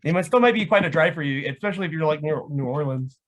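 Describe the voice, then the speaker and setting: A man's voice: a little high, animated, quick pace. An American man from Florida explaining things in a casual, rambling way, often padding with "like". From Florida, United States. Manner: rambling, filler-heavy with frequent "like". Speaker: guest, live action roleplay organizer.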